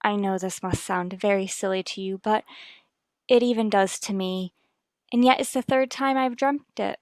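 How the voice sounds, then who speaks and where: A woman's voice: medium pitch, moderate pace, darkish tone, dry recording, close to an everyday voice. A woman playing a troubled, guarded wife and mother in an old-time radio soap, restrained and evasive in manner. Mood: shaken, anxious.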